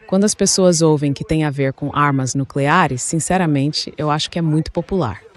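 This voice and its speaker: A man's voice: fairly high pitch, moderate pace. A man making political remarks in a translated voice-over.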